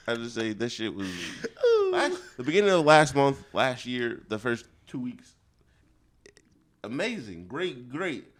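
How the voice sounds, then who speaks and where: Young male podcast co-host, mid pitch, animated, loose and chatty. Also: unhurried, long pauses. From Ohio. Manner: laughing, rambling, animated. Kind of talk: casual chat.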